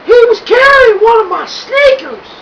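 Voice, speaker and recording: A man voicing a sweet, unflappable old woman who calls out in a high, drawn-out voice from a rowboat. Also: animated, a bit bright, far from the mic, slight background noise, heavily acted, poor audio. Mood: unperturbed. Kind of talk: audiobook character voice.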